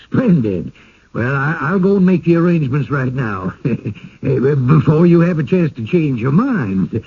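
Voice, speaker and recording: Radio drama role: genial, apologetic village man who stammers politely, lively husky voice, dulled vintage sound. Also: fairly high pitch, unhurried, heavily acted. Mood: eager. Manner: hesitant, apologetic, courteous, stammering. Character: fussy, genial widowed village man screening newcomers. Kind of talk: 1980s radio drama acting. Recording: muffled old radio broadcast.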